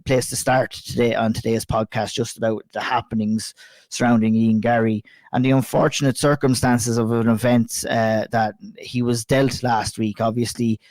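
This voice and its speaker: An Irish man hosting a casual podcast chat, a bit hoarse and croaky from a flu, chatty and sweary. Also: mid-range voice, a little mellow, dry recording. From Ireland. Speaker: podcast host.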